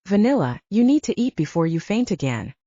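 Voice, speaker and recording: A woman voicing a gruff, protective man with a blunt, scolding manner in an audiobook. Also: medium pitch, sing-song, fairly quick, warm tone, no room sound, muffled recording. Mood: bossy. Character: gruff, bossy, protective man who cooks.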